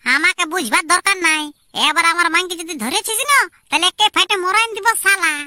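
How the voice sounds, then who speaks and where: A woman's voice: very high voice, brisk, pushed and bright, dry recording, studio quality, full character voice. A woman voicing an indignant, tattling little village boy in a comic cartoon, with a rural Purulia Bengali accent. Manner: scolding, tattling. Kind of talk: amateur comic cartoon dialogue.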